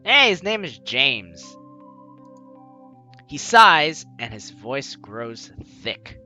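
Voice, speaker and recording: A man's voice: medium pitch, big pitch swings, extremely slow, sharp tone, faint music behind. A man reading a story game aloud as a let's player, with dramatic narration and casual asides. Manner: dramatic reading, slipping into offhand comments.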